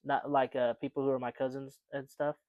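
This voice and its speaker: A man's voice: mid-range voice, flat delivery, brisk, dry recording, narrow, tinny audio. A young man co-hosting a casual podcast chat, rambling with lots of fillers and restarts. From United States. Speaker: podcast co-host.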